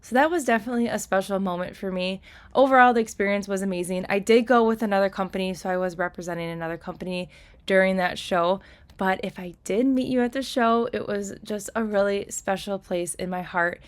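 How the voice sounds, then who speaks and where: A young woman with a slightly raspy voice, chatting enthusiastically through a nail tutorial voice-over. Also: mid-range voice, brisk. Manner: enthusiastic, chatty, lots of "like" and "kinda".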